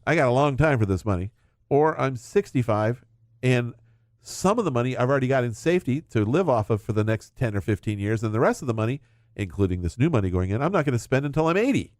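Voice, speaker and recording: A man's voice: medium pitch, sing-song, fast, darkish tone, no echo, clean studio sound. A middle-aged man, a financial advisor, explaining retirement investing in casual chat with hypothetical examples.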